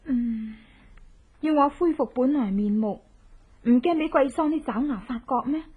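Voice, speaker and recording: A young woman speaks Hong Kong Cantonese in a high voice, theatrical and determined, with coaxing drawn-out endings. The recording is dull and lo-fi. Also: breathy edge. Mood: resolute, earnest. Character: determined avenging woman, coaxing sweetly in disguise as wife. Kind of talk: Cantonese radio drama acting.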